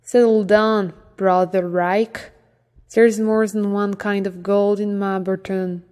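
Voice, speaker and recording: A woman's voice: medium pitch, takes it slowly, natural voice. A woman with a French accent voicing a cold, commanding young prince who speaks sternly. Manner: stern, calm. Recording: long hall reverb.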